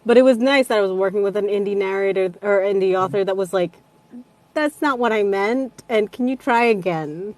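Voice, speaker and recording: A woman's voice: medium pitch, fairly quick, darkish tone. A woman audiobook narrator with a nasal voice, chatting casually on a live panel and swearing freely. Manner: chatty, swears casually, acts out quoted exchanges.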